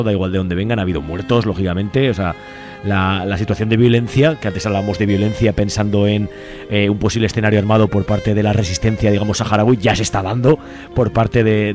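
A man's voice: on the low side, a little mellow. A man hosting a radio interview, asking long conversational questions. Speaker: radio host.

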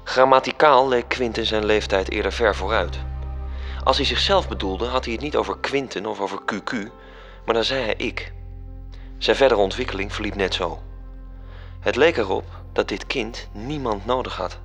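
A man's voice: fairly low pitch, normal speed, fairly bright tone, faint music behind. An actor narrating a radio drama as an angel telling a story to his superior. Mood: calm.

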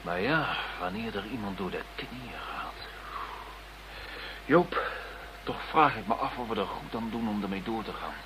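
A man's voice: lowish voice, lively intonation, measured pace. A man playing a sceptical, troubled investigator with a wry manner in a radio play. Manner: wry, doubtful. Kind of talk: Dutch radio play acting. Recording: faintly noisy radio play recording.

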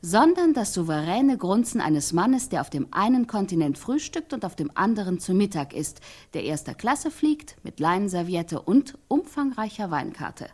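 An actress playing an insistent, exacting scriptwriter who invents a tragic love scene in a stylised radio play, speaking deliberately and precisely. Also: female voice, medium pitch, very animated, a little mellow. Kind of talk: stylised radio drama acting.

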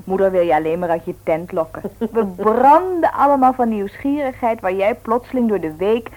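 An actress playing a teasing, lively grown daughter in an old Dutch radio family serial, chatting brightly with her relatives. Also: mid-range voice, moderate pace, close to an everyday voice. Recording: noisy old radio play recording.

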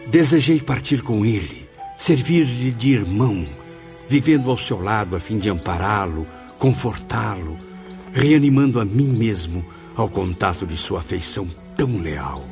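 A man's voice: lowish voice, animated, a little slow, a little mellow. An actor playing a humble, remorseful male spirit in a Brazilian radio drama, speaking formally and earnestly with a plaintive Brazilian delivery. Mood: sorrowful tenderness. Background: music.

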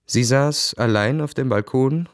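An actor playing a cynical, world-weary young officer narrating his diary in a cool, measured, introspective way. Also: mid-range voice, no echo, clean studio sound, close to an everyday voice. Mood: bitter, brooding. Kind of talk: literary radio drama narration, diary-like first person.

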